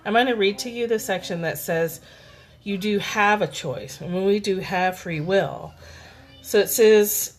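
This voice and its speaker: A woman hosting a live stream from home, reading a daily spiritual text and talking it through in a relaxed, unhurried way, greeting viewers by name. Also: mid-range voice. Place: home, children in background. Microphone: phone.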